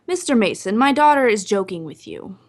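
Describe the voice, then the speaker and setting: A woman's voice: medium pitch, very animated, brisk. A woman playing a menacing older Hawaiian mother in an old-time radio melodrama, stern and terse in broken English. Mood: coolly commanding.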